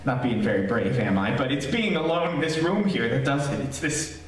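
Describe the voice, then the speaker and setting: A man playing a nervous radio reporter in a haunted house, halting and confiding in his listeners, in old-time radio horror style. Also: medium pitch, expressive, lightly acted. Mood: jumpy. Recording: echoey distant stage recording, faint noise.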